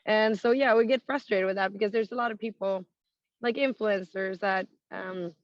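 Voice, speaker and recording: A woman from Sweden, a rock singer with a naturally raspy voice, now extra hoarse from touring, talking frankly in a casual interview with frequent laughs and restarts. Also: medium pitch, fairly even intonation, moderate pace, no echo, dull audio. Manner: frank, laughs often, many fillers and restarts.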